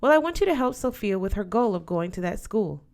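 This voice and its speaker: A woman's voice: mid-range voice, expressive, fairly quick, a little mellow, close to an everyday voice. A woman voicing an older godmother aunt in an audiobook, firm, affectionate and dutiful, counselling emphatically. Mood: earnest, urging. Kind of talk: audiobook character voice.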